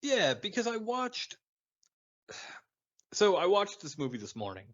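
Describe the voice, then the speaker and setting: A man's voice: high voice, huge pitch swings, takes it slowly, lo-fi sound. A man in his twenties co-hosting a podcast, bantering casually and swearing as he teases his friends. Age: 20s. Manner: teasing, profane banter. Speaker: podcast co-host. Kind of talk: casual chat.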